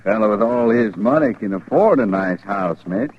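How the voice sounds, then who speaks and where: An actor playing a cold, threatening Western outlaw leader with a rural American accent in an old radio western. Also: male voice, fairly low pitch, lively intonation, normal speed, slightly rough. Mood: curt. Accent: rural American West. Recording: dry, muffled old radio recording.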